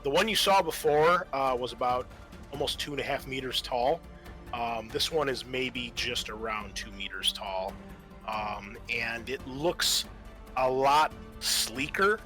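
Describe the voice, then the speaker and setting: Bright-voiced man running a tabletop game. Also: medium pitch. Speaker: game master of a tabletop roleplaying game. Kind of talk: improvised game narration. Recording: faint music behind the voice.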